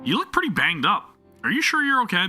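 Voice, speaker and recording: A man reacting live to a video game on stream, excitable and jokey, often stumbling over his words. His bright voice swings widely in pitch. Game music plays faintly underneath. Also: fast.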